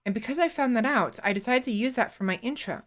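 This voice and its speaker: A woman streamer chatting casually into a desktop microphone, rambling and halting, often restarting her sentences and poking fun at herself. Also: on the high side. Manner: self-deprecating. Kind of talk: casual live-stream chat.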